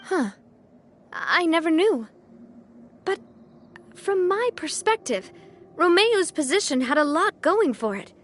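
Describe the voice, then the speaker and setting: A woman's voice: extremely high pitch, very animated, slow, long pauses, a little hiss or hum, full character voice. A woman voicing a game character, thoughtful and questioning. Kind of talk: game dialogue.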